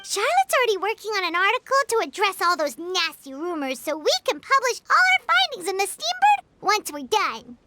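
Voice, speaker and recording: A woman voicing a tiny, excitable female fairy companion with a childlike, earnest, exclamatory manner in a video game. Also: very high voice, normal speed, few pauses, background noise. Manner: refers to self in third person, exclamatory. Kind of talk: animated video game voice acting. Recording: music behind the voice.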